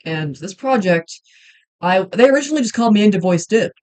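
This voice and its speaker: Young female streamer with a mid-pitched voice, casual and rambling, lots of hesitations. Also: lively intonation, a little fast, a little mellow. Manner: rambling, hesitant, many ums and restarts. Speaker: livestreamer and hobby voice actor. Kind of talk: casual livestream chat while drawing.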